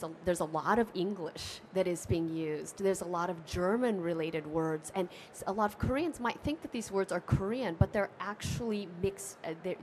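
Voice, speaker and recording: A woman's voice: mid-range voice, darkish tone, slight background noise. A woman activist on a panel, explaining earnestly at a table microphone. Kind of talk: panel discussion. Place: bookfair panel stage with an audience, indoors.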